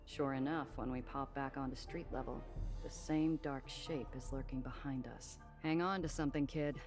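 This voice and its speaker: Streetwise woman smuggler: low, wry, guarded noir game narration over clear background music. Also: fairly even intonation, normal speed. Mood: tense, narrating. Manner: dry, world-weary, hardboiled. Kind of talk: noir first-person narration and game dialogue. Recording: music behind the voice.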